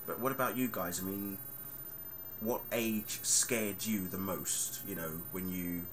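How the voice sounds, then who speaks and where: Man, 30s, very low voice, casual rambling vlog talk, audible background noise. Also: steady tone, unhurried. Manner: chatty and rambling, with many fillers. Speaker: vlogger.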